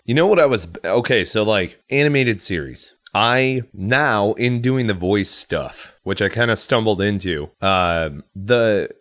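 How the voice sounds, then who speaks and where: A man's voice: lowish voice, animated, a little slow, few pauses, fairly bright tone, no room sound, studio quality. An American man chatting casually as a podcast co-host, rambling and correcting himself as he thinks aloud. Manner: rambling, hedging, self-correcting. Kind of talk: casual chat.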